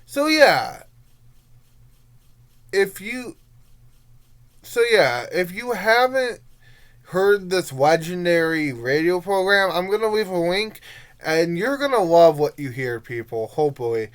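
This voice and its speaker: A young adult giving a casual review monologue in a rambling, informal way, halting and letting thoughts trail off. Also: mid-range voice, big pitch swings. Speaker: amateur reviewer.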